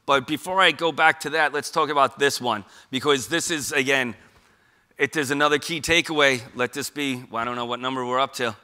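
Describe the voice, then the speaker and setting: A man's voice: medium pitch, fairly even intonation, brisk, bright, forceful tone. A middle-aged man with a New York accent, giving an informal public talk on suicide prevention, conversational and emphatic, with frequent rhetorical questions. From Queens, New York.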